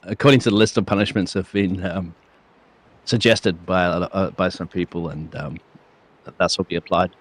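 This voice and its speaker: A man's voice: deep voice, fairly even intonation, normal speed. A man co-hosting a live broadcast with casual, bantering commentary.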